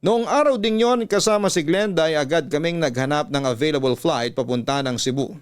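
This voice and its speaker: A man reading a scripted emotional story in a measured, emotive way, like a radio storyteller. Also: a little high, clear, resonant tone, faint music behind. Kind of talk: dramatic scripted story narration.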